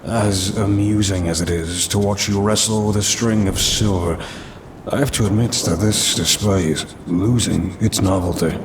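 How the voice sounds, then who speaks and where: A man playing a smug, ancient demon trapped in a cursed necklace in an ASMR roleplay, with haughty, teasing, old-fashioned speech. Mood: amused, condescending. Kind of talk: ASMR audio roleplay acting. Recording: rumbling rain and thunder noise.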